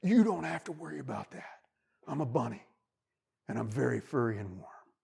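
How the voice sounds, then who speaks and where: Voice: mid-range voice, expressive, moderate pace, creaky, a little mellow, breathy edge, exaggerated voice. A storyteller voicing a tearful little bunny. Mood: kind. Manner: tearful, whiny when upset. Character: sad lonely young male bunny.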